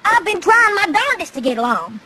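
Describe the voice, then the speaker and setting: A woman's voice: very high voice, very animated, a little fast, very noisy. A woman voicing a stubborn, blunt young cowgirl pony in a cartoon, with a folksy Southern US drawl. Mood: defensive, brisk. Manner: folksy, drawling, plain-spoken. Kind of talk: cartoon voice acting. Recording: music under the voice.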